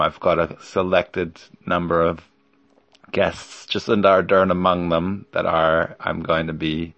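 Slow, deep-voiced man hosting a podcast. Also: fairly bright tone, no room sound, dull audio. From Germany. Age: 40s. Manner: hesitant, rambling, frequent ums and yeahs. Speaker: podcast host. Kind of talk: solo podcast monologue.

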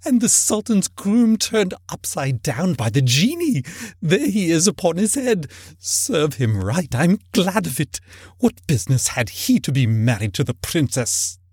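A man voicing an emotional old man in a storybook reading, exclaiming and repeating himself in a husky, cracking voice. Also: very high-pitched, very animated, takes it slowly, few pauses, dark tone, no room sound, studio quality, voice pushed up, heavily acted. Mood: ecstatic. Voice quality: husky, cracking between laughing and crying. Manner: exclamatory, breathlessly repetitive.

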